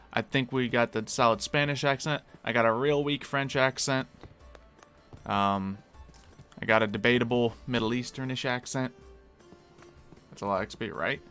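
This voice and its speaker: A man with a faint Philadelphia accent talks casually to his live-stream audience while he games, thinking aloud and laughing often. His voice sits at an ordinary pitch but sounds bright and forward. Quiet game music runs behind him. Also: smooth. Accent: slight Philadelphia. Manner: chatty, musing aloud, quick to laugh.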